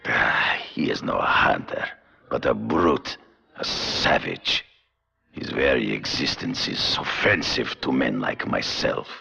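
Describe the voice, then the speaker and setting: A proud, aging master hunter in a video game. His gravelly voice swings widely in pitch, with a forceful edge. He speaks formally and gravely, as a man bound by honor. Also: expressive, slow pace, full character voice. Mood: stern, proud. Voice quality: deep, gravelly.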